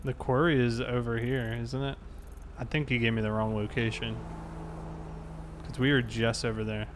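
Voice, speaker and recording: Male gamer playing a patrol officer, casual delivery, mid pitch, clear vehicle noise behind him. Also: level tone, moderate pace. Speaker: player roleplaying a police officer in an online game. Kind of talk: casual in-game roleplay chat and radio calls.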